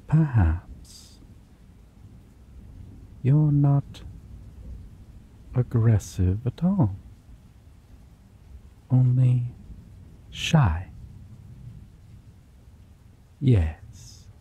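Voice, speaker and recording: A man playing a slow, dark-voiced possessive vampire. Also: mid-range voice, big pitch swings, husky, dull audio, heavily acted. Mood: coaxing. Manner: slow, soft-spoken, menacing, drifts into whispers. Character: possessive obsessive male vampire, menacing captor. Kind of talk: ASMR roleplay.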